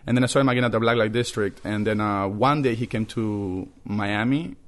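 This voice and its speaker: A man's voice: on the low side, fairly quick, fairly bright tone. A man in his thirties with a thick Peruvian accent, a comedian telling stories in a laid-back, swear-heavy podcast chat. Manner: laid-back storytelling, frequent swearing and laughter. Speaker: comedian guest.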